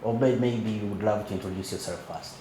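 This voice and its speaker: A male podcast host with a somewhat low voice guides the conversation, prompting his guest gently and murmuring agreement as he listens. The room echoes noticeably, with a faint hum behind him. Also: brisk. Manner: encouraging, frequent backchannel "mm", gentle prompting. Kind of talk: interview hosting.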